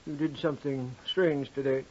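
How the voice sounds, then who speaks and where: A man's voice: mid-range voice, steady tone, moderate pace, husky, lightly acted. A man playing a plain-spoken, wary college janitor with a blunt, folksy manner in old-time radio drama. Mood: blunt, suspicious. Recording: dull old radio recording.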